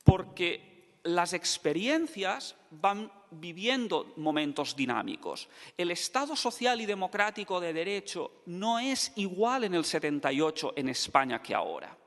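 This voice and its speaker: A male professor giving a formal academic talk on a panel, measured and emphatic. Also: sits high, a bit bright. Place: large conference hall. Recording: echoey hall.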